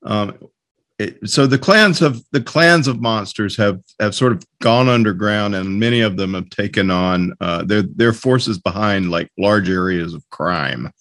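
A man hosting a podcast interview in a casual, halting way, often searching for words. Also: fairly low pitch, fairly bright tone, no echo, studio quality.

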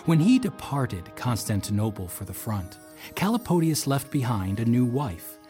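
An actor playing a loyal manservant to a Roman army captain, narrating an audio drama in a formal, measured way. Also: male voice, medium pitch, very animated, warm tone, soft background music. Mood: formal, stately.

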